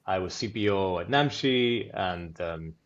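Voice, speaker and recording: Laughing Argentine man, low voice. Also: lively intonation, a little slow, lo-fi sound. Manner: joking, self-deprecating, laughs often. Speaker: podcast co-host. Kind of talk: casual chat.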